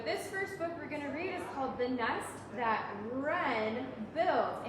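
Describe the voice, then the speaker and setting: A woman leading story time as a children's librarian, talking simply to preschoolers, asking them questions and reading aloud. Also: high-pitched. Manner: simple child-directed talk with frequent questions. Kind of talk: interactive read-aloud to preschoolers. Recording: very echoey room, distant mic, noisy.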